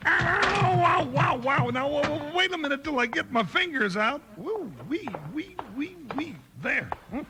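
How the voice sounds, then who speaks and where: An actor playing a big, jovial comic deputy sidekick in a 1950s radio Western, folksy, excitable and teasing. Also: male voice, extremely high pitch, a little slow, few pauses. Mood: bewildered. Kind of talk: 1950s radio drama acting. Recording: noisy old radio transcription, clicks.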